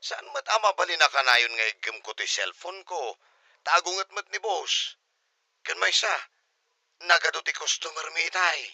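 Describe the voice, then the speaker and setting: A man's voice: fairly high pitch, normal speed, pushed and bright, no echo, heavily acted. A man playing a harried, weary mechanic boyfriend, placating and exasperated, in an Ilocano comedy audio drama.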